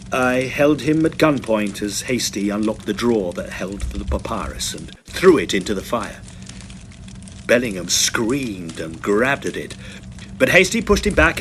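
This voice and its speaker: A man's voice: mid-range voice, a bit bright. Guy acting a stern young student in an audio drama, righteous n threatening, voice moves around a lot, bit of noise behind. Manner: commanding, menacing, deliberate. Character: stern, righteous young male student forcing a villain at gunpoint. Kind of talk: audio drama acting and first-person narration.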